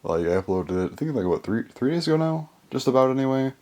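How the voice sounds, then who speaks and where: A young man with a hoarse, worn-out voice, chatting casually in a rambling way to his channel's viewers. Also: mid-range voice, sing-song, fast, a little mellow. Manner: rambling, full of fillers and restarts. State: hoarse, voice mostly gone. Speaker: YouTuber. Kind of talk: casual vlog chat.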